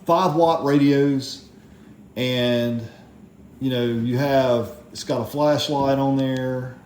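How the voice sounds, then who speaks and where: A man with a soft, mellow voice gives a casual gear review in a plainspoken, rambling way. He sounds like a hobbyist explaining his equipment. The room is clearly echoey, with faint noise behind him. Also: medium pitch, fairly even intonation, takes it slowly. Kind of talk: casual product review vlog.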